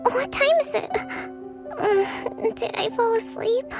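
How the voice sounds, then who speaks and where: A gentle young woman leader in a video game, dutiful and tired. Her high voice is soft and breathy, and she speaks slowly and hesitantly over background music. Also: exaggerated voice. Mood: refreshed. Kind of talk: video game character acting.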